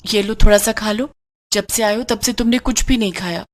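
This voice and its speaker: A woman's voice: mid-range voice, fairly quick, natural voice. A woman voicing a worried, devoted poor mother in a cartoon story, fretful and pleading. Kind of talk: Hindi cartoon story character voice.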